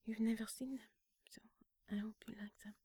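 A woman whispering an intimate roleplay in a soft, breathy voice, with frequent sighs and French endearments mixed into her English. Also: fairly high pitch, flat delivery, a little fast, no echo, clean studio sound.

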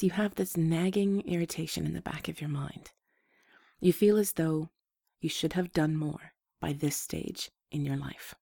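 A woman with a rough voice, hoarse from a flu, hosting a gentle and reassuring advice talk to her listeners. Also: deep voice, no echo, clean studio sound. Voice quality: rough, slightly congested voice. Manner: gentle, reassuring, restarts phrases. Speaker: advice show host.

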